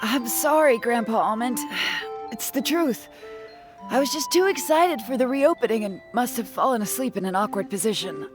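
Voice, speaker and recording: A woman's voice: a little high, expressive, normal speed, over music, natural voice. A woman voicing a weary, matter-of-fact creature bartender in a fantasy game, plain-spoken and lightly dramatic. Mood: pained, apologetic. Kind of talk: fantasy game character acting.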